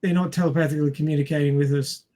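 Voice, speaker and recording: A man's voice: on the high side, steady tone, rapid-fire, dark tone. A man debating casually as a podcast co-host, blunt and contrarian, acting out imagined remarks.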